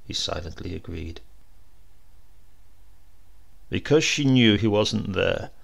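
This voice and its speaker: A man reading a literary supernatural tale aloud in a measured, expressive storytelling manner. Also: lowish voice, creaky. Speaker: story reader.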